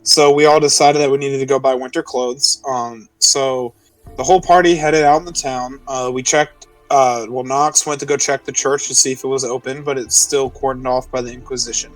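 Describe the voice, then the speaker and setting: A man's voice: medium pitch, steady tone, normal speed, no room sound. Distant-sounding man recapping a tabletop game. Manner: conversational, checking details with the others. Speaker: player in a tabletop roleplaying game. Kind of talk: casual recap of a game session. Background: music.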